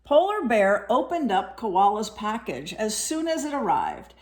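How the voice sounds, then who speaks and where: A woman's voice: medium pitch, wildly swooping pitch, a little slow, darkish tone. A woman teacher reading a storybook aloud to young children, expressively, with simple explanatory asides.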